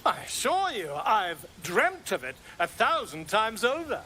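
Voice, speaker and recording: A pompous, overconfident scholar-bard for a game. His voice is high and bright, and it swoops extravagantly with florid, effusive delivery. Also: wildly swooping pitch, unhurried, slight background noise, heavily acted. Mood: cocksure. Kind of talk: video game character acting.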